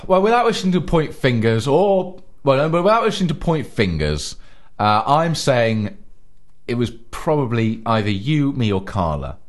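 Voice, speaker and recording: A man's voice: mid-range voice, sing-song, normal speed. A man co-hosting a comedy podcast, rambling through stories and laughing often. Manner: giggly, rambling storyteller. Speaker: podcast co-host. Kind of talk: casual comedic chat.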